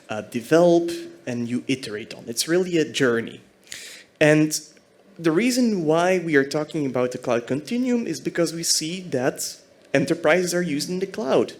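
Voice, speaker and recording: A man from Belgium giving a conference talk as a senior technology architect, explaining fluently. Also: medium pitch.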